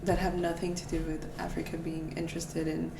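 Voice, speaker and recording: A woman's voice: low voice, monotone, brisk, creaky. A woman from Portugal hosting a podcast interview, speaking reflectively and thinking aloud. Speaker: podcast host. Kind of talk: casual interview conversation. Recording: roomy, distant, noisy recording.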